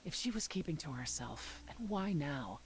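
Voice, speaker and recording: Grave, low-voiced resolute protector. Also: female voice, very animated, normal speed, slight background noise, rough recording. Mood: pressing, serious. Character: tall grave protective woman, resolute ally. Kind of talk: video game voice acting.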